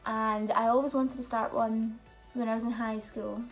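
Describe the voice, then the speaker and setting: A woman's voice: on the high side, flat delivery, moderate pace, faint music behind, rough recording. A young woman, chatting openly and telling stories in a casual question-and-answer vlog. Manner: chatty, open storytelling with fillers. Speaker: vlogger.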